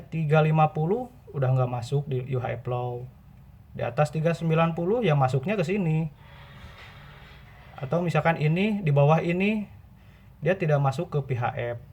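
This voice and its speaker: A man's voice: on the high side, breathy edge, muffled recording. A man gives an informal tutorial, explaining patiently by repeating and rephrasing his points. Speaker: presenter giving buying tips.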